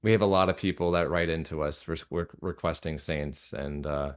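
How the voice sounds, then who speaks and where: A man's voice: very deep voice, fairly even intonation, brisk. A man with an American accent talking casually as an interview guest, feeling his way through phrases as he thinks aloud. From United States. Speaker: interview guest, radio drama creator.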